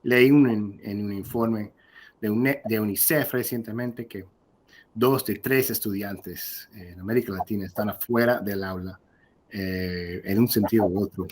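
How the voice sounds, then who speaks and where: Soft-spoken man lecturing over video. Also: on the low side, a little hiss or hum. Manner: halting and earnest, frequent restarts. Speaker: guest lecturer. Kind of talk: formal conference lecture.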